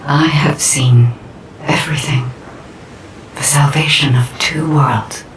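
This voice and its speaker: An actor playing a solemn, otherworldly guide in a video game, speaking slowly and portentously with a breathy, whispery voice. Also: medium pitch, fairly even intonation, slight background noise, natural voice. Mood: solemn, grave. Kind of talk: video game cinematic narration. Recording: distant, echoing, very loud stormy background.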